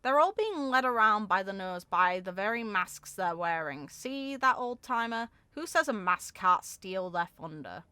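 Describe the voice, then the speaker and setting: A woman's voice: mid-range voice, animated, measured pace, few pauses, pushed and bright, clear, resonant tone, no echo. A woman voicing a mischievous, showy young female streamer from a game story, theatrical and teasing. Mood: taunting. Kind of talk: game lore character read-aloud.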